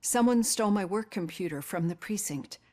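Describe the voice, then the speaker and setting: A mid-pitched female voice acted as a middle-aged doctor and researcher in a game. She sounds earnest and concerned. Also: expressive, fairly quick, a little mellow, close to an everyday voice. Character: worried, earnest woman doctor in her fifties. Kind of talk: video game cutscene dialogue.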